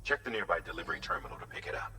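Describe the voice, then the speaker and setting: An actor playing a grave, authoritative mission commander in a video game, giving a measured, formal briefing. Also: male voice, low voice, lively intonation, distant mic, very noisy. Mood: calm, businesslike.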